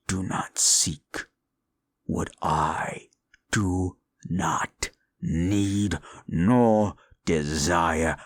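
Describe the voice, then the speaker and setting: A man's voice: on the low side, gravelly, a bit bright, husky, exaggerated voice. A man voicing a proud, scornful male demon of desire in an audio roleplay drama, who talks down to the listener with theatrical contempt. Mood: cold, mocking.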